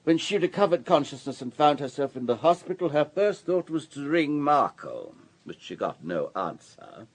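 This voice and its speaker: A man's voice: a little high, sing-song, moderate pace, hardly any pauses. An actor in a radio drama playing an urbane, wealthy businessman with a polished, courteous, storytelling manner. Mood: earnest, defensive. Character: urbane, wealthy older businessman, discreet and self-assured.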